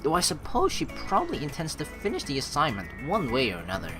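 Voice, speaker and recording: Animated low-pitched woman streamer. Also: fast. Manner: expressive, dramatic reactions. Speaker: let's play streamer. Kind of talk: game playthrough, reading aloud and commenting. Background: game music.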